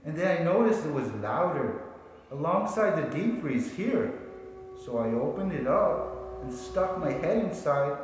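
An actor playing an earnest, excitable researcher in a 1940s radio play, speaking with dramatic, deliberate insistence. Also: male voice, on the high side. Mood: earnest, urgent. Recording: very echoey hall.

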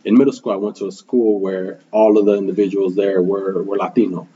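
A young man from Milwaukee whose first language is Spanish answers interview questions in an earnest, reflective way, often pausing on "um" and checking in with "right?". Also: sits low, little pitch movement, mellow, dull audio, a little hiss or hum. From Milwaukee, Wisconsin, USA. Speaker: guest, school program manager.

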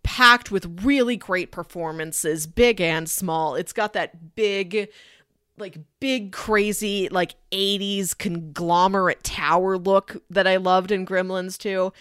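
A woman's voice: medium pitch, steady tone, slow, fairly bright tone, clear, resonant tone, no room sound. Woman podcaster, close dry sound. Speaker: podcast co-host. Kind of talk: casual film review chat.

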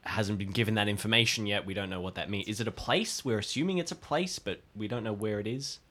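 A man's voice: on the low side, fast talker, fairly bright tone. A man casually narrating his character's part as a player in an improvised drama.

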